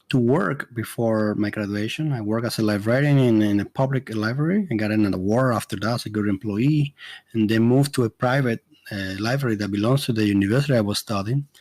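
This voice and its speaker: A middle-aged man with a strong Dominican Spanish accent talks straight into a close microphone with no room echo. His voice sits at an ordinary middle pitch. He speaks earnestly and often pauses, restarts and fills gaps with "uh.". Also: darkish tone. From Dominican Republic. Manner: earnest, halting, with many fillers and restarts. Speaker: immigration consultant and podcast host. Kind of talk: solo podcast monologue.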